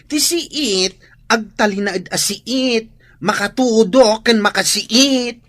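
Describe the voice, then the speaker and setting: A woman's voice: on the high side, takes it slowly. An actress with an Ilocano accent playing a feisty, resentful village woman, scolding melodramatically in a comic radio drama. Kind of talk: comedic radio drama acting.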